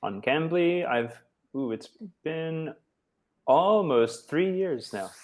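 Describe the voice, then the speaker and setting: A man from the United States, tutoring English over a video call in a relaxed, encouraging way with many short affirmations. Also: fairly high pitch, takes it slowly. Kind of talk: casual tutoring chat.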